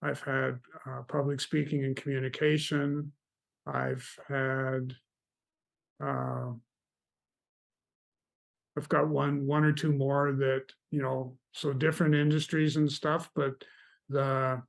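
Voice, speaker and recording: A man from Canada, a guest in an interview, telling stories about mentoring in a relaxed, meandering way. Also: medium pitch, slow pace, creaky, pauses often. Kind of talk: casual interview chat.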